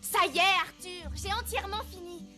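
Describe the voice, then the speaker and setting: A woman's voice: extremely high pitch, normal speed, over music. A woman voicing a sweet, eager, chatty young elephant girl in a French-dubbed cartoon. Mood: busy, excited.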